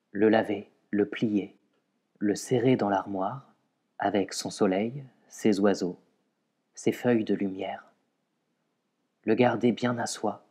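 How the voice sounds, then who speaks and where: A man reciting poetry in a measured way, pausing between short phrases. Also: fairly low pitch, warm tone, slightly rough. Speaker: poetry reader.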